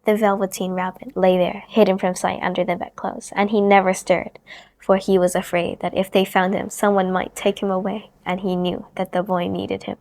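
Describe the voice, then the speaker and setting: A woman reads a children's storybook aloud, gentle and expressive. Her voice sits at an ordinary middle pitch, and now and then she lowers it to a near-whisper to draw the listener in. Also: normal speed. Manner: gentle, expressive read-aloud, sometimes hushed. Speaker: storybook reader.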